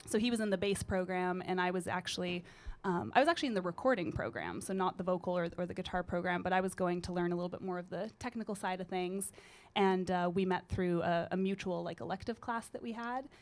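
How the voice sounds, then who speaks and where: A woman in her thirties talks easily into a studio mic, her voice sitting low with a gritty grain to it. She rambles comfortably, with lots of little ums and uhs, like a musician relaxed in conversation. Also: quick pace. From British Columbia, Canada. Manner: relaxed, rambling, with frequent fillers. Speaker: singer-songwriter guest. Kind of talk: casual interview chat. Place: college radio studio. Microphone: studio microphone.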